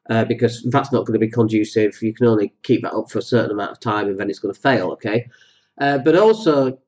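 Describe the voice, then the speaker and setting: A man hosting a solo podcast, talking with a cold that makes his voice deeper, rambling and jokey with many fillers. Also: darkish tone, dull audio. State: has a cold, voice deeper than usual. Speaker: podcast host.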